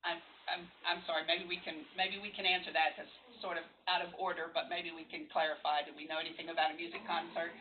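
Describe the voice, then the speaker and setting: A woman chairing a school board meeting in a meeting room, chatty and quick to apologize. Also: on the low side, level tone, narrow, tinny audio, a little hiss or hum. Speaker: board president.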